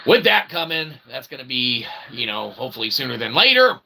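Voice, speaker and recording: A man's voice: mid-range voice, sing-song, brisk, vocal fry, noisy background. A man hosting a rambling comedic livestream in a mock-pompous manner, using grandiose made-up words and repeated catchphrases. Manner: repeats stock catchphrases and tags like "okay?". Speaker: streamer and channel host.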